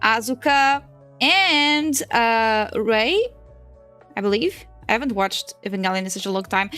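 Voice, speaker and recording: Chatty high-voiced Portuguese woman streamer. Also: fairly bright tone. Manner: chatty and rambling, laughs often, swears casually. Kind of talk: casual livestream chat while drawing. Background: faint music.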